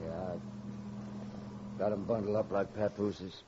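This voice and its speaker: Deep, slow stranded airman. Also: steady tone, mellow. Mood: uneasy. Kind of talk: 1940s radio acting. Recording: dull, faintly noisy old radio recording.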